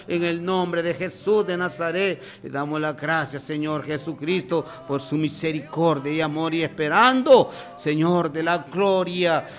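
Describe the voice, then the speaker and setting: A man's voice: fairly high pitch, a bit bright. A man leading an impassioned spoken prayer, pleading and repeating his words in a rhythmic way. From former drug and alcohol user.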